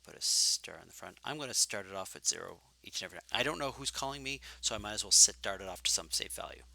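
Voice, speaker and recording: A man teaching programming in a live coding lecture, thinking aloud and correcting himself as he goes, his voice growing hoarse from long talking. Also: on the low side, expressive, fast talker, bright, forceful tone, poor audio. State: getting hoarse.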